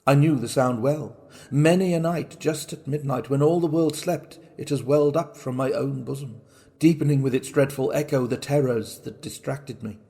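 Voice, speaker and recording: A man acting a cunning, boastful madman confessing a murder in a dramatic gothic horror reading, with theatrical, drawn-out phrasing. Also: medium pitch, dark tone, close to an everyday voice. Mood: brooding, gloating. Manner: theatrical, deliberate, drawn-out words.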